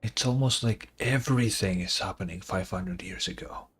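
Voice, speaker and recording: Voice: sits low, moderate pace, a bit bright, a little hiss or hum. A streamer giving casual, sweary, informal livestream commentary and reactions to a game. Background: game music.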